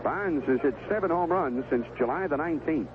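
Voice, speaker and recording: An American man calling baseball play-by-play on the radio, in clipped phrases with conversational asides between pitches. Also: lively intonation, fairly quick. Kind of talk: live sports commentary. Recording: vintage 1970s AM radio broadcast, tinny, noisy.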